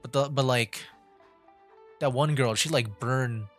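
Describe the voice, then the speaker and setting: A man's voice: medium pitch, slow, soft background music. A young man streaming a game from home, giving loose, rambling commentary full of "like" and restarts. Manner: rambling, heavy use of "like", restarts, laughs. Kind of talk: casual gameplay commentary.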